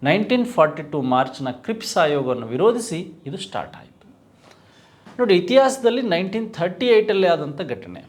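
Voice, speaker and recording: A man's voice: high voice, sing-song, fairly quick. A man giving an exam-prep history lecture as a coaching teacher, explaining with rhetorical questions and repeating key terms.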